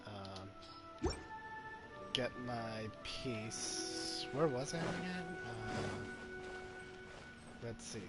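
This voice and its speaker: A male streamer talks casually through his gameplay in a medium-pitched voice. He is hesitant and repeats himself as he thinks aloud, with clear game music behind him. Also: lively intonation, drawn-out words, long pauses. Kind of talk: casual live gameplay commentary.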